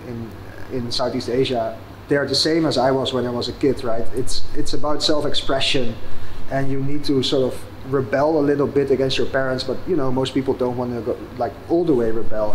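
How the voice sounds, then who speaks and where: A man, a founder answering interview questions in a thoughtful, halting way. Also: medium pitch, slight background noise, poor audio. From Netherlands. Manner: thoughtful, many fillers and restarts. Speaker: startup founder and guest.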